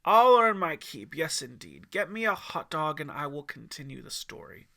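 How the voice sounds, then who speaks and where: A man's voice: fairly high pitch, sing-song, normal speed, creaky, sharp tone, full character voice. A man voicing a hearty, folksy old mountain man in a storybook tale. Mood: jovial. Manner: folksy, hearty, slightly formal greeting.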